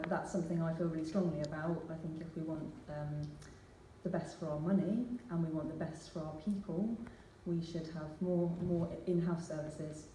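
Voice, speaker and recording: Low, flat-voiced woman in an echoey hall. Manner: earnest, measured, with filler ums. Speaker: local council candidate. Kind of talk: hustings speech. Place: large church hall. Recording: echoey hall, distant mic, faint hiss.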